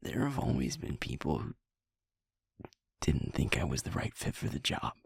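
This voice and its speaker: A young man playing a sweet, guilt-ridden werewolf who is second in command of his pack, speaking in a breathy, halting, confessional way for an intimate audio roleplay. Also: very deep voice, big pitch swings, a little mellow, dry recording, clean studio sound, full character voice. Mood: ashamed, dejected. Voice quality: breathy, husky, often falling to a whisper. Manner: halting, heavy pauses, confessional.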